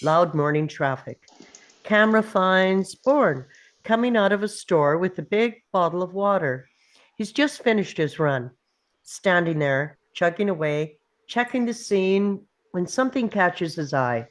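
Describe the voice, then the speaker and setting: Low-voiced woman reading screenplay action. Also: expressive, a little slow. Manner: clipped, punchy present-tense phrasing. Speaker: stage directions reader. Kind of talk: scripted narration of screenplay action.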